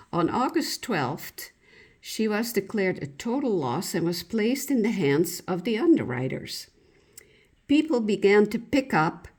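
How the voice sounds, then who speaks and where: A woman reading a written local-history reminiscence aloud in a deliberate, storytelling way, occasionally stumbling over a word. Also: on the low side, a little mellow. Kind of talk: read-aloud narration of a reminiscence.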